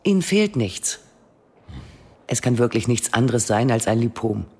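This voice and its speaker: An actor, a man, playing a calm, candid, reassuring doctor in a radio drama. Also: mid-range voice, unhurried, slightly rough, exaggerated voice.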